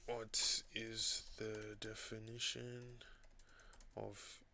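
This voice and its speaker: A man vlogging casually from his desk at home, raspy from recovering from an illness, thinking aloud in a loose, rambling way. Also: on the low side, monotone, extremely slow, bright, forceful tone, slight background noise. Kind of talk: casual talking-head vlog. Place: home desk with laptop and second screen.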